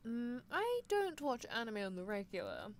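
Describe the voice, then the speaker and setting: A woman's voice: a little high, huge pitch swings, normal speed, slight background noise. A woman playing a playful, bossy British female scientist in an ASMR roleplay, teasing and coaxing with clinical asides. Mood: dry, coaxing. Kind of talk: ASMR roleplay livestream in character.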